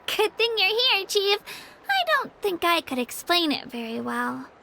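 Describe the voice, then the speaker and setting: Young girl apprentice warrior, extremely high, bright, eager, sweet, earnest game character. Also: big pitch swings, a little slow, creaky, a little hiss or hum, exaggerated voice. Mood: reassuring. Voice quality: girlish. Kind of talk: video game voice acting.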